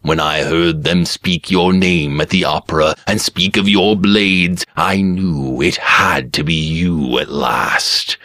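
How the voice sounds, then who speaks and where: A cruel nobleman who gloats and taunts in a deep, unhurried voice, as a male narrator puts him on. His menace comes out cheerful and drawn-out, each threat savored slowly. It is heard close and dry, in a storybook reading. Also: fairly even intonation, sharp tone, no echo, heavily acted. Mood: gloating.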